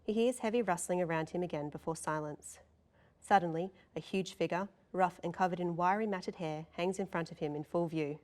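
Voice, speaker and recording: A woman's voice: fairly low pitch, fairly quick, darkish tone. A woman narrator reading scene descriptions and stage directions from a script.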